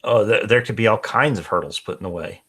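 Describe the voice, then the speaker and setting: A man's voice: on the low side, fast, fairly bright tone, slightly rough. A man co-hosting a casual podcast, skeptical and emphatic, swearing to drive his points home. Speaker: podcast co-host.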